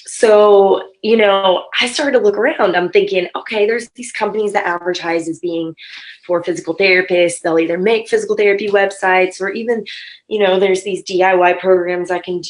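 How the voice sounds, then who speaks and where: Woman, lower register, raspy and hoarse from being sick; casual, upbeat vlog chatter with frequent fillers. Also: low-quality recording. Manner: chatty, upbeat, many ums and you-knows. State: hoarse from illness. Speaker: physical therapy marketing vlogger. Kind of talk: casual talk to camera. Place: at home late at night.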